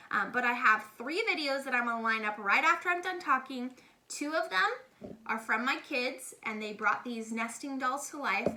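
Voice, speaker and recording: A woman's voice: sits high, sharp tone, a little hiss or hum. A middle-aged woman speaking casually and enthusiastically to children as a read-aloud host, explaining things simply, in a homemade recording. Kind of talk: casual educational talk addressed to children.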